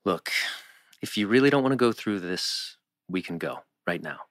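A man's voice: low voice, lively intonation, moderate pace, no room sound. Teen drama boyfriend, played by a man: supportive and teasing, very varied intonation, dry sound. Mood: reassuring. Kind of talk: table read acting.

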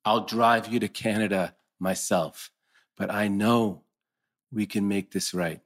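Teen drama lawyer, male actor: lowish voice, concerned, persistent. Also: fairly even intonation, takes it slowly. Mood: insistent. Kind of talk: table read acting.

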